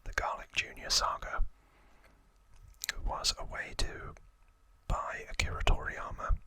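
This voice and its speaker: Guy doing asmr whispering, really slow n breathy, very sharp bright hiss to it, short phrases w pauses. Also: low-quality recording. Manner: soft, unhurried, pausing between short phrases. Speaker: ASMR video host. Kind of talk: whispered ASMR, informal trivia explanation.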